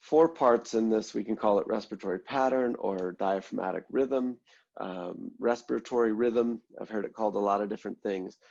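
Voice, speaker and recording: A man leading an online mindfulness class, congested and a little nasal, explaining breathing steps in a relaxed way with many ums and "right?" check-ins. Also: fairly low pitch, normal speed, creaky, muffled recording. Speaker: mindfulness instructor.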